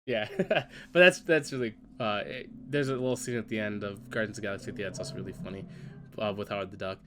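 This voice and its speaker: A man's voice: lowish voice, fast talker, a little hiss or hum. A man hosting a casual podcast chat, still congested and stuffy-nosed from being sick, upbeat and steering the questions. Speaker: podcast host.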